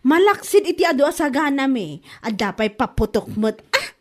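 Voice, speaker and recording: A woman's voice: high-pitched, big pitch swings, moderate pace. A woman with an Ilocano accent playing a boastful, showy married woman in a comedy drama, bragging and exclaiming. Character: boastful, showy married woman flaunting her Christmas feast.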